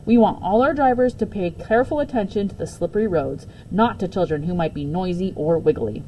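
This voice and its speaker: A woman's voice: lowish voice, a little fast, mellow, a little hiss or hum, close to an everyday voice, poor audio. A woman voicing a smart, funny male school principal making announcements to pupils in a children's storybook. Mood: announcing, cautioning. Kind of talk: children's storybook read-aloud.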